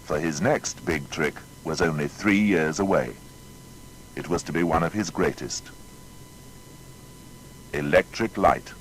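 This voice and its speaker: A man's voice: extremely low pitch, unhurried, pauses often, a little hiss or hum, low-quality recording. A man narrating a scripted biography in a dramatic, rhetorical manner.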